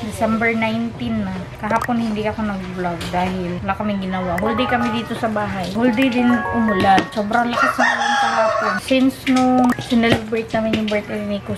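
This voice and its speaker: A young adult woman with a Filipino accent, chatting casually as a vlogger. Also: a little high. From Philippines. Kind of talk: casual vlog chat. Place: home kitchen, indoors, later out travelling.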